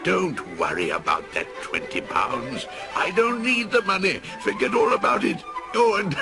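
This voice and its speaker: A man reading a storybook aloud, voicing an old male miser who turns frantic and overjoyed, high and breathless. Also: wildly swooping pitch, slow, creaky, heavily acted. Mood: giddy. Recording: music playing behind.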